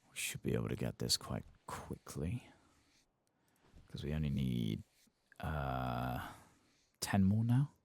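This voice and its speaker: A man with an extremely deep, gravelly voice speaks softly, drifting now and then toward a whisper. He narrates a video game in an ASMR style, unhurried and musing, with lively intonation that rises and dips. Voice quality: soft, hushed, sometimes slipping into whispers. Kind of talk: soft-spoken ASMR game playthrough commentary.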